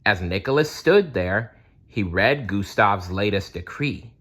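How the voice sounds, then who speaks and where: A man reading a children's story aloud in a deliberate, storytelling manner. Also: lowish voice, animated, a bit bright.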